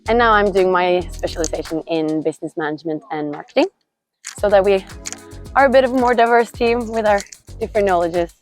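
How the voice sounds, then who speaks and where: A woman's voice: mid-range voice, fairly quick, soft background music. A woman, introducing herself in an upbeat way. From Norway. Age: 20s. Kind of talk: interview self-introduction.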